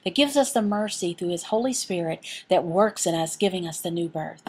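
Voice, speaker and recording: A woman voicing a signed Bible teaching as interpreter, reading scripture and explaining it earnestly phrase by phrase, with pauses. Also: on the low side, expressive, darkish tone, a little hiss or hum.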